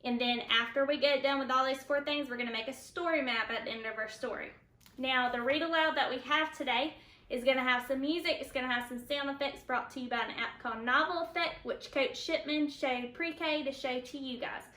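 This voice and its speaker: A woman's voice: high-pitched, fairly quick, sharp tone. A woman working as a pre-K teacher, explaining a lesson to young children in a roomy space. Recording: roomy, echoey sound.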